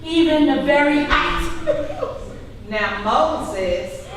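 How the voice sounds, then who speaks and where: A woman's voice: high voice, expressive, drawn-out words, a crowd in the background, heavily acted. A woman playing a stern accuser in a live church scripture play, speaking in a declamatory, accusing way. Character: stern accuser challenging a religious teacher. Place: large church, live performance. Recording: echoey hall from far off, background noise.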